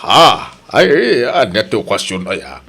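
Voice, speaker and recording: Animated, genial family father acted by a man. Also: on the low side, a little slow, breathy edge, exaggerated voice. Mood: cheerful. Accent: Ilocano. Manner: animated, interjecting. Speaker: radio drama actor. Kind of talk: Ilocano radio comedy-drama acting.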